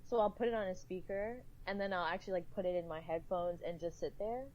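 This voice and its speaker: A woman's voice: lowish voice, quick pace, darkish tone. A woman chatting casually as a guest in a round-table podcast discussion. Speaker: podcast guest, cast member. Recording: dull sound, faint room noise.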